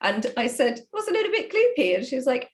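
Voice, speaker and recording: A woman, a writer, talking casually and explaining her craft with animated emphasis. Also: high voice, fairly quick. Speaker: audio drama writer. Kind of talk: casual discussion of writing.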